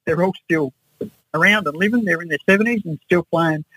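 A man's voice: high voice, fairly quick, pushed and bright, breathy edge, dry recording, rough recording. An Australian man in his sixties reminiscing casually as an interview guest. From Adelaide, Australia. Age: 60s. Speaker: interview guest, musician and researcher.

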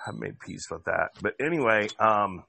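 A man's voice: on the low side, animated, moderate pace, vocal fry, sharp tone. A man from Indiana telling long, rambling stories in casual chat, with frequent restarts. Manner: rambling storyteller with many restarts.

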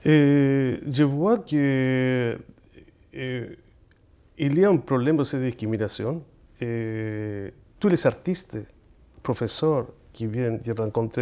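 A man's voice: mid-range voice, warm tone. Earnest man with a Chilean Spanish accent. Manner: earnest, halting, repeats words while searching for them. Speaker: guest poet and speaker. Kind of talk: studio interview answers. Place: TV studio.

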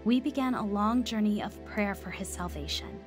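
An actress playing an earnest, devout young woman who tells her story with measured care in a radio drama. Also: mid-range voice, animated, music in the background, lightly acted. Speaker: lead actress and first-person narrator. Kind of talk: scripted radio drama narration.

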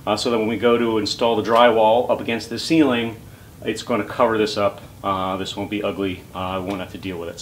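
Middle-aged male DIY host, lowish pitch, casual halting explainer, some noise behind the voice. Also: fairly bright tone. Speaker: DIY woodworking video host. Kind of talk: casual explanatory talk to camera. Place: unfinished basement room with bare framing, indoors. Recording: clear background noise with clicks.

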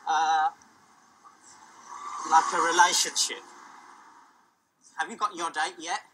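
A man in his thirties talking informally in short, halting phrases to a documentary camera. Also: fairly high pitch, fairly even intonation. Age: 30s. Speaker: dating agency member. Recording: faint background noise on location.

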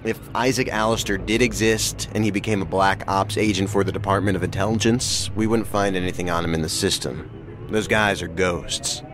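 Man playing a very low-voiced seasoned investigator. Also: steady tone, background noise, close to an everyday voice. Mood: measured, thoughtful. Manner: measured, reflective, terse. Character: seasoned, thoughtful male federal investigator. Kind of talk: audio drama acting.

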